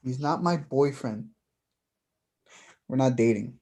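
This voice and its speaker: Woman doing a hesitant wistful young guy in a stage play, low and soft-toned, casual. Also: takes it slowly, full character voice. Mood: mildly hurt. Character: mild, wistful, evasive young man named Jason. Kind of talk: staged play reading, naturalistic dialogue.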